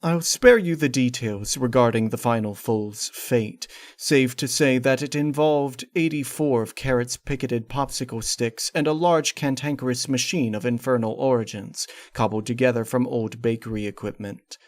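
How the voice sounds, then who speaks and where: A man's voice: medium pitch, normal speed, few pauses, no echo. Man narrating gothic horror, dry close sound. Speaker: narrator of a horror fan fiction. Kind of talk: dramatic scripted story reading.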